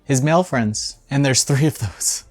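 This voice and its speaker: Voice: mid-range voice, normal speed, faint music behind. Casual, wry video game news host. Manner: wry, offhand asides. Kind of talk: casual news rundown with off-the-cuff commentary.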